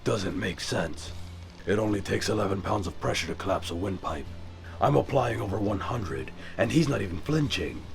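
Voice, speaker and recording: Brooding vigilante for audio drama, male actor: low, gravelly, stern, clipped and guarded. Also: lively intonation, moderate pace, soft background music. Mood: tense, calculating. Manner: terse, controlled, stern. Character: brooding, guarded billionaire vigilante, adult man.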